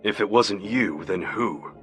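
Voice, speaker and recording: An actor playing a skeptical police detective who questions a suspect in a dry, probing manner in a radio drama. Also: male voice, lowish voice, a little slow, faint music behind, lightly acted. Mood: suspicious.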